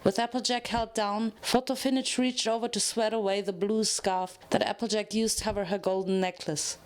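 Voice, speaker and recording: A woman's voice: medium pitch, fairly even intonation, normal speed. A woman narrating a scripted story as a dramatic reading.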